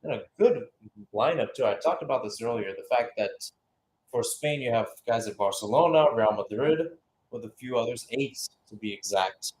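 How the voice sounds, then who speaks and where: A man's voice: medium pitch, lively intonation, normal speed, a little mellow, slight background noise, poor audio. A man giving live radio-style football play-by-play, mixing calls of the action with conversational analysis, hesitations and self-corrections. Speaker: play-by-play commentator.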